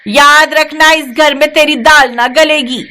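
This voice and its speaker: A woman's voice: high voice, fairly even intonation, unhurried, sharp tone, pitched above the performer's own voice. A woman voicing a bitter, taunting old mother-in-law in a dramatised moral story, nagging theatrically. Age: older. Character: bitter, scolding old mother-in-law berating her daughter-in-law.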